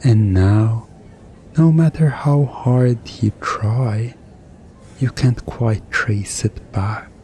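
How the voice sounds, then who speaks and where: A man playing a mischievous, smugly commanding hypnotist in an ASMR roleplay, soothing and teasing with deliberate pauses. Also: medium pitch, warm tone, a little hiss or hum. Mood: teasing, hypnotic.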